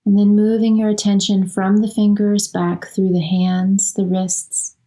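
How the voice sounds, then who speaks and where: A woman leading a guided relaxation as a yoga nidra instructor, speaking soothingly in short, unhurried phrases with long pauses. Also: medium pitch, flat delivery, mellow, rough recording.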